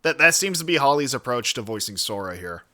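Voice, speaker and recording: Bright, animated male streamer, sardonic. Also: mid-range voice, brisk. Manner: sardonic, profane. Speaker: livestream host reacting to a video. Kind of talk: casual, opinionated reaction commentary.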